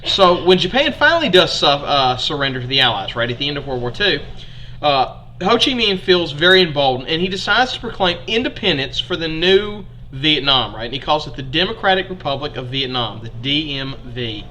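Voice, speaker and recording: A man's voice: fairly high pitch, sing-song, a little fast, sharp tone, slight background noise, poor audio. A young man with an Alabama accent teaching a history lesson in a chatty, folksy way to his students.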